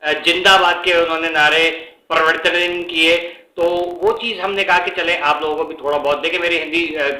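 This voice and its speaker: A man from Pakistan with a Pakistani Urdu accent, commenting casually in a vlog, often pausing on fillers and slipping English words in. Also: fairly high pitch, fairly even intonation, sharp tone, reverberant, rough recording. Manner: halting, many fillers, mixes in English words. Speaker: vlogger.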